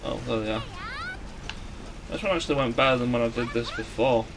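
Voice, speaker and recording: A man giving casual, somewhat halting video game commentary as a let's play host. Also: medium pitch, creaky, noisy background. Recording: music behind the voice. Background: game music.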